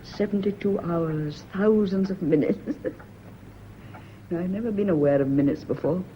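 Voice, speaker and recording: An actress playing an anxious, brooding secretary and secret lover in a British radio drama, nervy and intense, with a British accent. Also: female voice, fairly low pitch, moderate pace, highs cut off, slight background noise, natural voice. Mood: haunted, anxious. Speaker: actor in a radio play.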